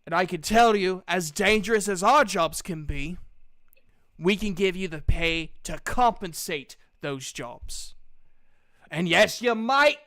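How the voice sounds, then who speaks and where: A brash, scheming dwarf recruiter for a fantasy tabletop game, acted out by a man, pitched high and very clear. He pushes his offer hard, with taunting, emphatic patter in a dry, close sound. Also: expressive, slow pace, a bit bright, dry recording, heavily acted. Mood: boastful pitch. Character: brash, scheming male dwarf guild recruiter, pushy and mocking.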